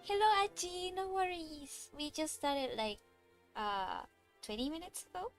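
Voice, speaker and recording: A woman's voice: high voice, lively intonation, soft background music. A woman whose first language is Cantonese, chatting casually and hesitantly while streaming herself drawing. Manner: hesitant, nervous, halting with restarts. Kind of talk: casual livestream chat.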